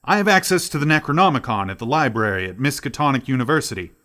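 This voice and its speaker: An earnest professor in a radio drama, with very lively, swooping intonation. He questions everything with astonishment and concern, in a mid-range male voice. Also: medium pitch, fast talker. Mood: intrigued. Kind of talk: radio play acting.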